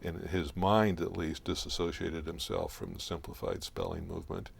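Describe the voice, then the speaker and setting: A man speaking as an expert guest in an interview, explaining in a halting, deliberate, scholarly way. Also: low voice, lively intonation, creaky, slightly rough.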